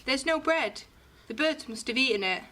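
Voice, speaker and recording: A woman's voice: high voice, lively intonation, moderate pace, bright, forceful tone. A woman playing a wide-eyed, questioning young girl in a fairy-tale radio drama. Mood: worried.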